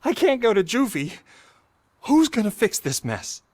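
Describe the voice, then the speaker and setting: Jittery teenage boy, swooping pitch. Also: sing-song, measured pace, a little mellow, heavily acted. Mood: panicked, pleading. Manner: anxious, pleading. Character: scared teenage boy mechanic, jittery, loyal petty thief. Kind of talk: video game voice acting.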